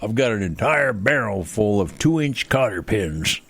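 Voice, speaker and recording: A man's voice: lowish voice, very animated, unhurried, fairly bright tone, husky, no echo, full character voice. A man playing a boastful old-west handyman rival in a comedy radio skit, talking in a folksy, competitive way. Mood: competitive boasting.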